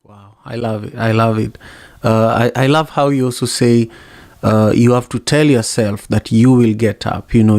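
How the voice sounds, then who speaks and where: An effusive man hosting a live audio room, from Kenya, with a voice of middling pitch. He often repeats words as he hunts for the next thought. The sound is close and dry, with no room in it. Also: darkish tone, dry recording. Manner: effusive, repeats himself while searching for words. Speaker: host. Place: home setup, live audio app.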